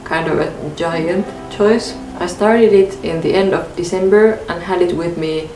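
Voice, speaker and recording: Calm Finnish woman vlogging about books. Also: lowish voice, unhurried, roomy sound, faint music behind, low-quality recording. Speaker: book vlogger. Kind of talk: reading a book tag to camera. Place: bedroom in front of a bookshelf, indoors.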